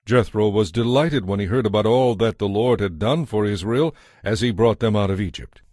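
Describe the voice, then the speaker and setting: Male narrator for scripted Bible storytelling, lowish pitch, measured delivery, dry studio-tight sound. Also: a little mellow, dry recording.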